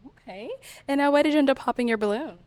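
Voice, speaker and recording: Upbeat higher-voiced woman host. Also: fast talker, a little mellow, no echo. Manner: upbeat, laughs often. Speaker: dating show host. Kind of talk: hosting a dating show.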